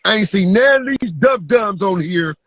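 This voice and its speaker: A man's voice: high voice, animated, normal speed, fairly bright tone, no echo, muffled recording. A man hosting a podcast in casual street slang, pressing his points and asking if the listener follows him. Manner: emphatic, street slang, asks "you feel me". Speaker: podcast host.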